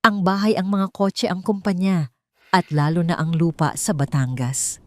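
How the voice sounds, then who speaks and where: A woman's voice: fairly low pitch, fairly even intonation, normal speed, a little mellow, no echo, close to an everyday voice. A woman voicing a betrayed wife who is coldly determined and vengeful, speaking in a firm, commanding manner in an audio story. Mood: cold resolve.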